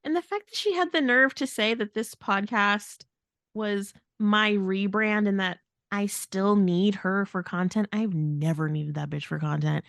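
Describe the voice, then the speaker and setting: A woman's voice: medium pitch, measured pace, vocal fry, fairly bright tone, no echo, clean studio sound. A woman co-hosting a podcast, venting casually in a blunt, exasperated and emphatic way. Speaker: podcast host.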